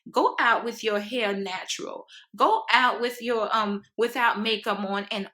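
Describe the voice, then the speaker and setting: A woman's voice: on the high side, measured pace. A woman from Haiti talking earnestly to camera, lecturing her viewers with many fillers and restarts. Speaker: vlogger. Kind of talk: casual monologue to camera.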